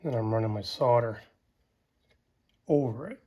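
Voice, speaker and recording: A man's voice: mid-range voice, a little slow, breathy edge, muffled recording. A man talking casually and colloquially through a hands-on do-it-yourself job as he works, hosting his own how-to video. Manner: folksy, colloquial. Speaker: host of a DIY how-to video. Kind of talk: casual hands-on tutorial talk while working.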